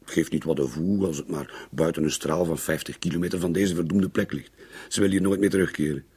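A man's voice: sits low, steady tone, fairly quick, darkish tone, breathy edge. A man playing a disgruntled, dismissive middle-aged father in a radio drama, grumbling sarcastically with a Flemish accent. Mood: resentful. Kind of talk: naturalistic radio drama acting.

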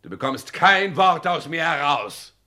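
Pompous, animated lecturing husband. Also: male voice, on the high side, a little slow. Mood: indignant, dramatic. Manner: pompous, declamatory, lecturing, with affected French phrases. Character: pompous, self-important husband, vain grandiose storyteller and lecturer. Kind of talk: 1960s German radio play acting.

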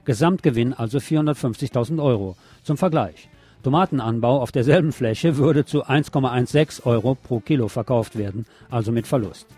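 A man delivers a scripted news magazine report in a mellow, relaxed voice at a medium pitch. His reading has a wry, colloquial edge, with faint music underneath. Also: fairly quick, husky. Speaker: radio news presenter.

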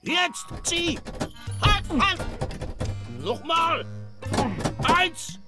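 Cranky boozy farmer uncle, cursing and scolding, voice jumps all over, music behind. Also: male voice, very high voice, animated, extremely slow, heavily acted. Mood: furious, shouting. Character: loud, cantankerous, hard-drinking uncle and pig farmer. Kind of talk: radio drama acting.

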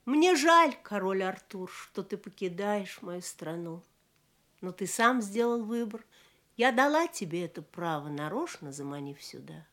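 An actress playing an alluring, regal fairy queen in a Soviet audio play, with a measured, theatrical delivery. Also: medium pitch, very animated, fairly bright tone. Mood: wistful, tempting.

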